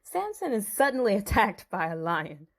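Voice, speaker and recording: A woman's voice: mid-range voice, big pitch swings, moderate pace. A woman storyteller giving a dramatic scripted narration of a Bible story.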